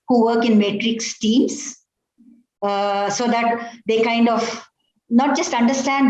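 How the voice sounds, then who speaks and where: A woman moderates a panel over a video call, phrasing long questions fluently and calmly. Her voice is fairly high and sounds roomy and a bit dull. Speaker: panel host.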